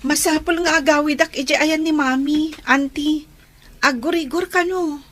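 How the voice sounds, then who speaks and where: A young stepdaughter in an Ilocano radio drama. Her voice is high, and she speaks gently and respectfully. She comes across as earnest, anxious and pleading. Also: steady tone, moderate pace. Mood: worried, firm.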